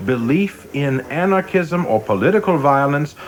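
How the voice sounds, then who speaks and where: An actor playing a young, ambitious government investigator in a radio play, coldly precise, formal and deferential but sure of himself. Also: male voice, on the high side, lively intonation, moderate pace, natural voice. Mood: crisp, confident. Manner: deferential but self-assured, formal and exact.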